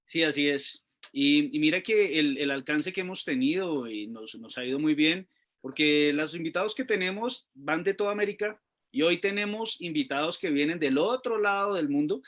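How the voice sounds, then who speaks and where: A man co-hosting a radio magazine, speaking in a formal, deliberate way. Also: fairly high pitch, a bit bright. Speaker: radio co-host.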